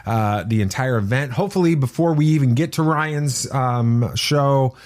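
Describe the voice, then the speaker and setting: A middle-aged man with a mid-pitched voice hosts a fan podcast. He talks conversationally and keeps up a promotional pitch to his listeners. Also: moderate pace, a little mellow. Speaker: podcast host. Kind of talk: chatty, promotional pop culture podcast talk.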